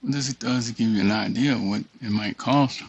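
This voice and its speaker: A man giving a casual screen-share walkthrough, thinking aloud in a halting mutter and reading text off the screen. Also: lowish voice, husky, dry recording. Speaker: tutorial presenter. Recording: dull, muffled lo-fi audio.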